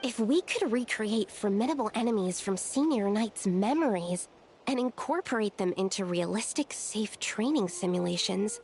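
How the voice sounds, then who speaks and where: A woman playing an earnest, polite, studious young researcher in a video game, speaking precisely. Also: a little high. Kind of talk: video game character acting.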